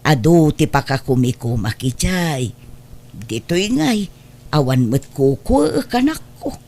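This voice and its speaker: A woman with an Ilocano accent playing a gentle but stubborn elderly mother in a family audio drama. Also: rumbling low, big pitch swings, slow, full character voice. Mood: wistful, stubborn. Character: stubborn, gentle elderly mother attached to her old house. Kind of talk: Ilocano radio-style family drama acting.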